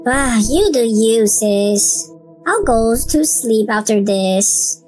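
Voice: mid-range voice, animated, slow pace, a little mellow, soft background music. A performer voicing a cautious, suspicious little brother in a horror game roleplay, with a wary, nagging manner. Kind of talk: voiced game roleplay acting.

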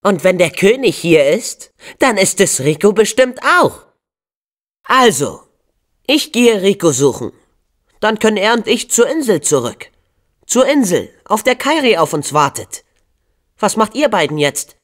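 Spirited teen boy adventurer for a video game: earnest, eager, animated, measured pace. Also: high-pitched, heavily acted. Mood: earnest, curious.

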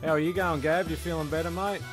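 Guy chatting and joking with mates, voice a bit high, music in back. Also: fairly even intonation, quick pace. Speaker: cast member of a comedy audio show. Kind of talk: casual comic banter.

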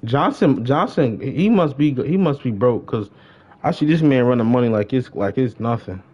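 A man's voice: medium pitch, animated, normal speed, slightly rough. A young American man in casual, slangy livestream gaming chat, repeating short phrases. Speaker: livestreamer playing a roleplay game. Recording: dull, noisy game stream mic.

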